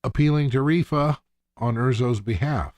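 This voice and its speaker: A man's voice: medium pitch, moderate pace, a little mellow, no echo. A man co-hosting a podcast, recapping a TV episode in casual conversation. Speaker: podcast co-host.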